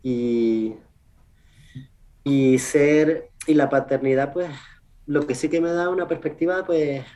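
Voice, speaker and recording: A man with a Canarian Spanish accent giving casual interview answers as a research scientist, in an informal, halting way with self-corrections and tag questions. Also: on the high side, dark tone. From Canary Islands, Spain. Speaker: research scientist, podcast guest.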